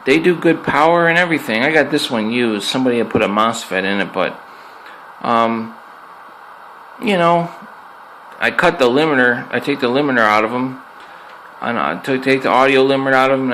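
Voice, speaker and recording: A man with an ordinary mid-pitched voice talks through a piece of gear in a loose, off-the-cuff way. He is plainspoken and tends to restate points for emphasis. It sounds like an amateur recording made in an apartment, with noise in the background. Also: bright, forceful tone, low-quality recording. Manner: plainspoken, conversational, repeats himself for emphasis. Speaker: amateur product reviewer and radio hobbyist. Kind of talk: casual unscripted hands-on review. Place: indoors in an apartment.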